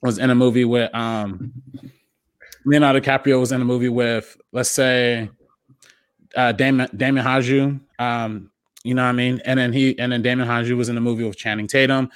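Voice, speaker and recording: A man's voice: medium pitch, little pitch movement, moderate pace, clean tone, no room sound. A man guest on a casual podcast, thinking aloud with many ums and restarts.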